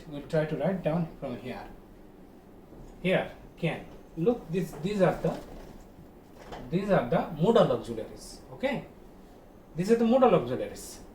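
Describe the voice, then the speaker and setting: A man with a Bangladeshi accent teaching a grammar lesson in a deliberate, repetitive way, checking in with his pupils. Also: fairly high pitch, a little mellow. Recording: echoey, distant mic, noisy room.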